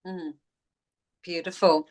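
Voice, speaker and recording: A woman with a fairly low voice hosts a spiritual conversation podcast. She affirms her guest often and speaks in soulful, intuitive terms. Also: level tone, slow pace. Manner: warm affirming, spiritual vocabulary. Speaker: podcast host, intuitive soul guide. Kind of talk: casual interview chat.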